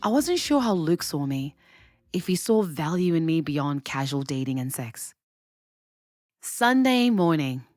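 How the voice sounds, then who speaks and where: A woman's voice: deep voice, sing-song, measured pace, darkish tone, no echo. A woman in her twenties from Zimbabwe playing a candid, smitten young woman in an audio drama, confiding and playful. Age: 20s. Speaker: lead actor and first-person narrator. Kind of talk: audio drama narration and dialogue.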